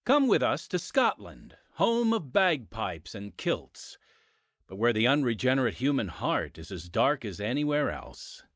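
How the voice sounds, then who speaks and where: A man narrating a radio drama as its announcer, with a dramatic, measured delivery. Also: medium pitch, big pitch swings, fairly bright tone, no echo, studio quality. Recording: music under the voice. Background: music.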